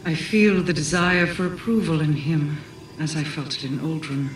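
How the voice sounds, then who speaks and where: A woman's voice: low-pitched, steady tone, close to an everyday voice. A woman as an imperious queen, echoing and distant. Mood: commanding, concerned. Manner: formal, measured, grave. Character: imperious, wary queen and coven leader. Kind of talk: dramatic video game cutscene speech. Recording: echoing, distant voice under music. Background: music.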